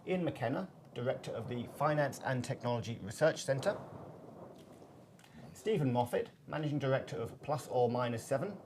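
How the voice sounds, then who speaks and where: Man, web seminar host, medium pitch, scripted business presenting, background noise. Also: animated, normal speed.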